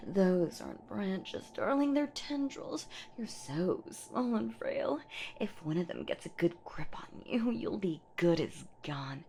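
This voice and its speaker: A woman's voice: medium pitch, animated, slow, darkish tone, slight background noise. A woman voicing a menacing, playful centuries-old boogeywoman in a horror audio roleplay, with a theatrical, teasing manner that often slips toward a whisper. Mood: gleeful, taunting. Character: menacing, playful centuries-old female boogeywoman monster hunting her prey.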